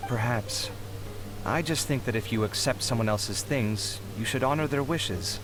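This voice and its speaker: An actor playing an earnest, theatrical and warm-hearted young architect in a video game. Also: male voice, mid-range voice, moderate pace, background noise, close to an everyday voice. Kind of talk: English game voice acting.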